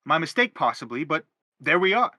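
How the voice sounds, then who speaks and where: A man's voice: fairly high pitch, sing-song, a little fast, sharp tone, no room sound, clean studio sound, full character voice. An actor playing an anguished middle-aged father in a stage drama, pompous, wordy and insistent as he justifies himself. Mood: pleading, self-justifying. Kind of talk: theatrical stage drama acting.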